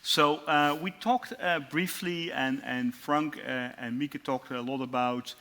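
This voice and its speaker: A middle-aged man with a Dutch accent, a lecturer moderating a student panel in a friendly, halting way with many fillers. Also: mid-range voice, fairly even intonation, sharp tone.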